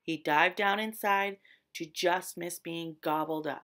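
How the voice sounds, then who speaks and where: A woman storyteller narrating a children's picture book expressively, with deliberate, dramatic emphasis on descriptive words. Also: fairly low pitch, a bit bright. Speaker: read-aloud storyteller.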